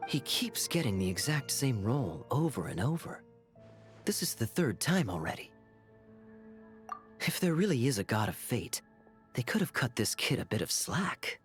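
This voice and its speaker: A man voicing a wry, charming adult knight who talks in a smooth, teasing way. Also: medium pitch, sing-song, normal speed, long pauses, soft background music, lightly acted. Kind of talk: game voice acting.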